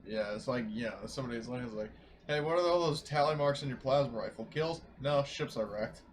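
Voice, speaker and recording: A man's voice: medium pitch, brisk, a little hiss or hum. A man chatting and joking casually as a player in a tabletop game.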